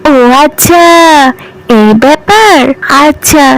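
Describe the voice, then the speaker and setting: Woman playing a gentle high-voiced flower queen. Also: very animated, slow, exaggerated voice, poor audio. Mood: kindly. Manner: gentle, pleading, benevolent. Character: kind, gentle flower queen, a magical spirit. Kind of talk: animated fairy-tale cartoon dialogue.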